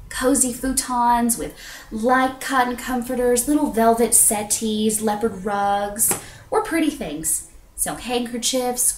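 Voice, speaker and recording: A woman acts out a candid, self-conscious woman making an intimate stage confession. Her voice sits fairly high, with dramatic pauses and stretched emphasis. It echoes around a room on a cheap webcam mic. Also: fairly bright tone. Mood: disgusted, confiding. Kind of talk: theatrical confessional monologue.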